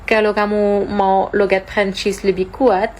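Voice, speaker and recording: A woman's voice: medium pitch, level tone, a little slow, a little mellow, a little hiss or hum. A young French woman with a French accent, explaining thoughtfully and hesitantly while she searches for words. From France. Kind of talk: casual explaining to camera.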